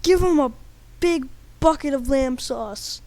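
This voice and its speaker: A woman's voice: high-pitched, slow pace, warm tone. A woman voicing a firm, reproachful Japanese man with stilted, emphatic phrasing in an improvised comic story. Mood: commanding.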